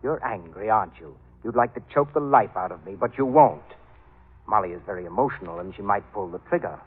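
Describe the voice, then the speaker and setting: Creepy polite radio villain, unhurried menace, big echo, old narrow-band recording. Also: male voice, lowish voice, lively intonation, slightly rough. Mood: calm menace. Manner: mock-polite, unhurried, sinister courtesy. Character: soft-spoken, menacing villain who claims a deadly touch. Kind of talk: 1940s radio drama acting. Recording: muffled old radio recording, long reverb.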